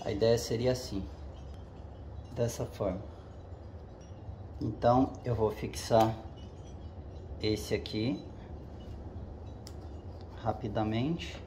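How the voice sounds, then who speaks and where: A man's voice: fairly low pitch, steady tone, slow pace, long pauses, warm tone, slight background noise. A man hosting a casual how-to video, explaining each step while he works with his hands and checking in with his viewers as he goes. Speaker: channel host. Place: home garage with the door open. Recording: echoey garage.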